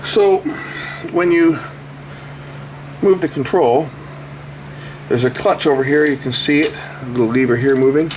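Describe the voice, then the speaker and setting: A man's voice: on the high side, measured pace, pauses often, darkish tone, a little hiss or hum, poor audio. A man giving casual, unscripted repair commentary, thinking aloud as he works with his hands. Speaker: electronics repair video host.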